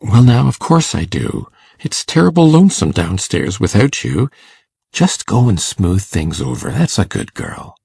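A man acts out a shy, kindly older farmer for a storybook. His voice is very husky and creaky, moving up and down as he coaxes in a hesitant, folksy way, often repeating himself. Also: lowish voice, sing-song, measured pace, darkish tone, no room sound, clean studio sound, full character voice. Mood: gentle, relieved. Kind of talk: audiobook character acting.

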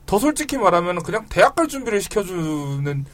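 A man's voice: sits high, very animated, fairly quick. A man of about thirty hosting an interview, asking questions in a friendly, joking way. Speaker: host.

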